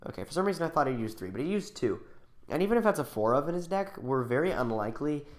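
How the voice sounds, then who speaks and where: Man, sniffly from a cold, casual game commentary, thinking aloud, animated pitch. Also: fast, slight background noise. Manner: thinking aloud, many ums and restarts. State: getting over a cold, a bit sniffly. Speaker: channel host.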